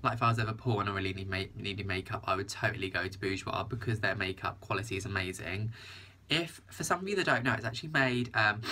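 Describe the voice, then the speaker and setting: A man's voice: lowish voice, sharp tone. A young British man in his twenties, gushing through a casual makeup review, his nose blocked up from hay fever. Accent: British English. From England. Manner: gushing, enthusiastic, repeats "very, very". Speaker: beauty vlogger. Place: small indoor room with a printed backdrop.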